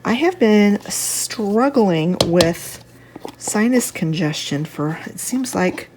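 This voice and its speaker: A woman with a slightly stuffy, congested voice, giving a casual, chatty home cooking demonstration at an unhurried, thinking-aloud gait. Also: medium pitch, expressive, noisy background. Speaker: home cook, video host. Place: home kitchen.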